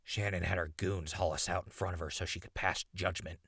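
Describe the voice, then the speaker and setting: Man acting a deep, gravelly captive survivor. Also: steady tone, a little fast, fairly bright tone, dry recording, clean studio sound, heavily acted. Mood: grim explaining. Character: captured male radio host, urgent, stubbornly hopeful prisoner. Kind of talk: post-apocalyptic audio drama acting.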